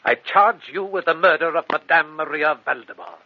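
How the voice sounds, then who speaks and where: An actor playing a stern, skeptical physician in a gothic radio drama, formal, indignant and emphatic. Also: male voice, on the high side, expressive, normal speed. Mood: accusing. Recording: dull radio recording with hiss.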